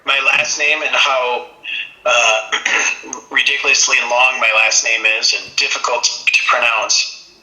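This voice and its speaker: Male songwriter guest from Minnesota, mid pitch, sharp bright tone, relaxed and reflective; roomy echo. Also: slow pace, faint music behind. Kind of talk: casual interview. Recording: echoey room.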